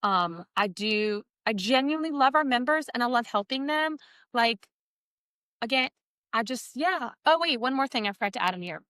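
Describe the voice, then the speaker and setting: A woman's voice: fairly high pitch, expressive, normal speed, fairly bright tone, smooth, dry recording, clean studio sound. Nasal woman co-hosting a podcast. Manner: chatty, joking, self-mocking. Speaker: podcast co-host. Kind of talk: casual podcast chat.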